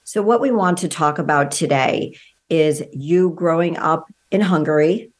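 A woman's voice: sits low, a little slow. A woman hosting an interview, reading the guest's introduction and then asking earnest questions that clarify his points. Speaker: podcast host. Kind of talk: interview host.

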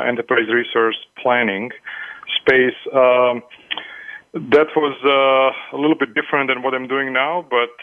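A man answers interview questions over a phone line, pausing often with uhs and ums. The call sounds tinny and thin, and there is no room echo. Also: mid-range voice. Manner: halting, frequent uhs and ums. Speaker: guest consultant.

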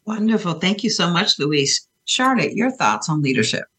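A woman's voice: on the low side, very animated, moderate pace, fairly bright tone, breathy edge. A woman hosting an interview, thanking guests and asking direct questions. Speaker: host.

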